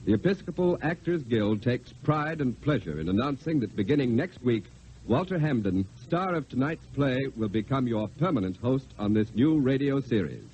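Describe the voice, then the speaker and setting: A man's voice: mid-range voice, expressive, normal speed, darkish tone. A man with a middle-range voice makes formal, polished announcements as host of an old-time radio show. The sound is muffled, with faint hiss behind him. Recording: muffled, hissy vintage radio recording.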